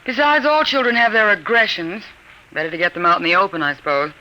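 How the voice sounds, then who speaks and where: A woman playing an amused, indulgent suburban mother in a 1950s radio drama, gently teasing as she talks. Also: mid-range voice, quick pace, close to an everyday voice. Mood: easygoing. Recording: noisy vintage 1950s radio recording.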